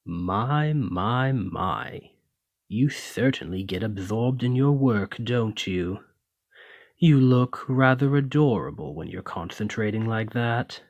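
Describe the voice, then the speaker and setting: A haughty serpent nobleman, acted by a man for an ASMR roleplay, speaks at a slow, lordly drawl in a fairly low voice. His pompous, refined phrasing rises and falls widely as he condescends to and teases his servant. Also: expressive, dry recording, full character voice. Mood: teasing, amused. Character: haughty, teasing male lamia noble, half-serpent employer. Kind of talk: ASMR roleplay, scripted monologue to listener.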